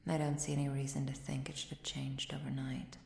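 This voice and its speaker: Woman playing ancient vampire countess, ASMR roleplay, soft whispery delivery, menacing elegance, dark mellow tone, very dry close mic. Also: rumbling low, completely flat delivery, reverberant. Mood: grave, thoughtful. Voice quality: soft, breathy, often dropping to a whisper. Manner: measured, formal, archaic diction.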